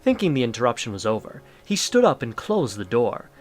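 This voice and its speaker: Lively male story narrator. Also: medium pitch, brisk, faint music behind.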